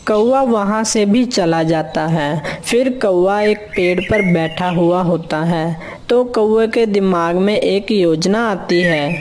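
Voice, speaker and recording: Woman telling a kids story, slow and measured, normal pitch, faint noise in the background. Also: a little mellow. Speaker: storyteller, narrator. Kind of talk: scripted children's story narration.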